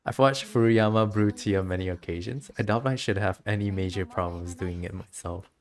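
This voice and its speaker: A woman voicing a dignified, reflective female shogun from a game in a formal, measured, solemn manner. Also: very deep voice, darkish tone. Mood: calm, formal.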